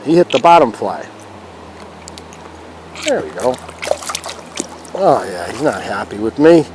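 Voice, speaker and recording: A man's voice: medium pitch, big pitch swings, takes it slowly, darkish tone, rough recording. A man gives casual, folksy fishing commentary, chatting to viewers as he fishes outdoors. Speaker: fishing vlog host. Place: outdoors standing in a river. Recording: clear outdoor river and ambient noise.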